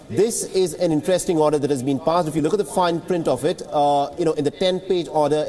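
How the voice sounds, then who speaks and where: Male correspondent, fairly high voice, explanatory newsroom commentary, reverberant studio. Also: a little mellow. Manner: earnest and explanatory, repeats words while building points. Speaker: news correspondent.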